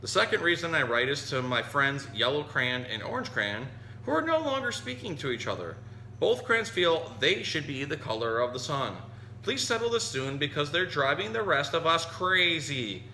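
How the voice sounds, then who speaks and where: A man's voice: a little high, very animated, normal speed, bright, forceful tone, heavily acted. A man voicing a contented, polite green crayon from a picture book, earnest and congratulatory as a peacemaker. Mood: cheerful, earnest. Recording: roomy echo, some noise.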